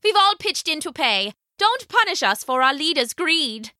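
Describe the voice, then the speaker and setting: A woman's voice: very animated, moderate pace, bright, forceful tone, no room sound, studio quality, full character voice. A woman voicing an anxious, outspoken village woman who cries out with urgency. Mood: pleading. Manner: high, urgent crying out.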